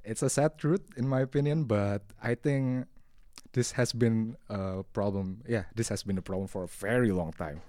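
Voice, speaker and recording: A young man from Indonesia with an Indonesian accent, speaking haltingly and reflectively in a casual discussion. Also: lowish voice, darkish tone, no echo, clean studio sound. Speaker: podcast guest.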